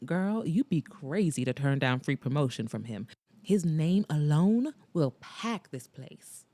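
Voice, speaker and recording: A sassy young woman who teases her friend with easy, playful warmth, voiced by a woman reading the book. The tone is a little brighter than the reader's own, but it stays relaxed and unforced. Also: deep voice, a little slow, no echo, clean studio sound. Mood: teasing. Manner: playful, teasing.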